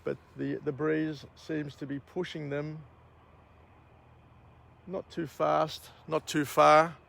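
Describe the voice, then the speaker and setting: A middle-aged Australian man with a croaky, gravelly voice, talking slowly and evenly at a fairly high pitch. He rambles with many pauses and fillers. He records outdoors on a handheld camera at sunrise. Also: flat delivery, a bit bright. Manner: slow, rambling, frequent uh and um. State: croaky voice after a night of drinking. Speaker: vlog host. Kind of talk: outdoor morning vlog and book summary.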